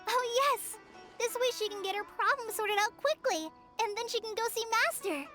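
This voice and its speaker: A shy, sweet teenage girl from a video game. The voice is very high and childlike, hesitant and earnest, with an anxious streak. Faint music runs underneath. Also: moderate pace. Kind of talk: video game character voice acting.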